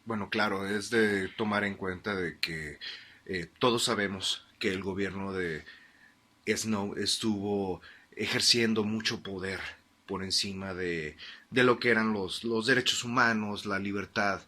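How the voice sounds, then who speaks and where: A man playing a formal history-programme host in a radio drama, interviewing with hesitant pauses. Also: on the low side, fairly even intonation, pushed and bright. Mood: earnest, inquiring. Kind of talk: scripted radio interview in a fiction podcast.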